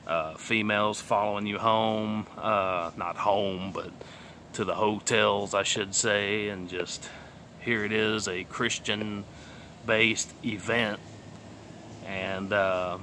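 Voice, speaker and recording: Man, late 30s, texas accent, lowish pitch, forceful tone, rambling testimony, outdoors, faint background hiss. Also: fairly even intonation, takes it slowly. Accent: Texan. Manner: rambling, plainspoken, many fillers and trailing asides. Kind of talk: casual personal testimony. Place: outdoors on a sunny day.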